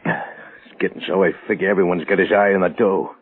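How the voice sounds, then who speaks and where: A man's voice: low voice, fairly even intonation, a little fast, husky. An American man playing a tough, suspicious, bitter condemned gangster in slangy 1940s radio crime style. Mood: bitter, wary. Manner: slangy tough-guy snarl. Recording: tinny, narrow-band 1940s radio recording.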